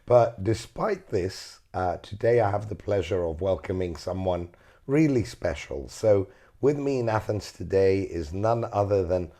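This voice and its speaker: A man hosting a casual interview, hoarse from illness, asking gentle, encouraging questions. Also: low voice, lively intonation, measured pace. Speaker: interview host.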